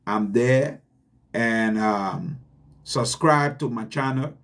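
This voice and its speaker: A man with a Liberian accent giving an earnest, deliberate motivational talk to his channel's viewers, repeating his key points for emphasis. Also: mid-range voice, fairly bright tone. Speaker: channel host. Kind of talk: motivational address.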